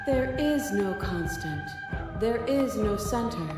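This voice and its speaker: Vast, fervent game goddess-princess, serene and unyielding, measured grand delivery, voice ringing with echo. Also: female voice, medium pitch, animated. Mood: solemn, insistent. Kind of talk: dramatic visual novel game acting. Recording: echoing voice under loud music.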